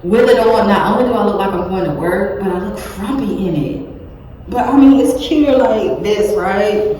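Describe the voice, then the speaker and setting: A woman chatting casually in a self-filmed vlog, rambling with many fillers, in a monotone and slightly scratchy voice. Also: medium pitch, normal speed. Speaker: vlogger. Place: indoor room, cold. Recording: echoey room with long reverb, faint ambience.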